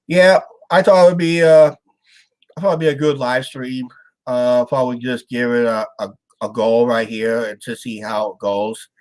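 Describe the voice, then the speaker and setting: Stuffed-up male host from Iowa, halting rambler, mid-pitched voice. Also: fairly even intonation, low-quality recording. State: stuffed-up nose. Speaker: show host. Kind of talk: casual live-stream chat.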